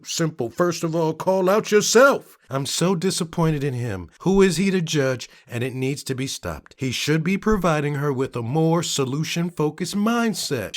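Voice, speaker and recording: Male narrator, fairly high and very animated, theatrical, emphatic comment reading. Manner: emphatic, theatrical, shifting delivery.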